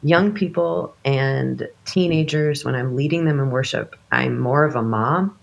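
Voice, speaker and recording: A woman's voice: extremely low pitch, unhurried, vocal fry, highs cut off. A woman in her late thirties, a worship leader fighting a cold, talking casually with many restarts and fillers. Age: late 30s. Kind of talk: casual conversation.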